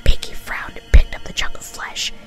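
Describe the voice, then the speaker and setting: A woman's voice: normal speed, music in the background. Whispering woman reading a story. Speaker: amateur story reader.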